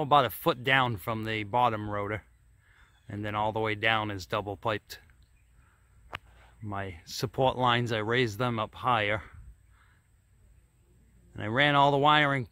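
A man's voice: mid-range voice, a bit bright, no echo. A man giving casual, folksy vlog commentary as a hobbyist showing his own handiwork. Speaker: host, hobbyist showing his antenna build.

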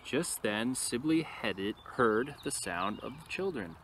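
A man reading a picture book aloud expressively to young listeners, with casual, quieter asides, recorded outdoors. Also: mid-range voice, expressive, takes it slowly. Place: outdoors in an open field. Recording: noisy outdoor recording with ambient sound.

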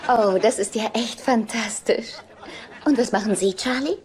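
A woman's voice: fairly high pitch, a little slow, close to an everyday voice. An actress playing a chatty, forward woman on a blind date in a German-dubbed sitcom. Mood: eager, chatty. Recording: noisy TV soundtrack rip.

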